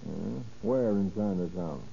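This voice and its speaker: A man's voice: sits low, animated, measured pace, vocal fry, mellow, full character voice. An actor playing a curt, commanding male federal narcotics agent who interrogates with authority in 1930s radio crime drama. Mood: pressing, skeptical. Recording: noisy, muffled old radio recording.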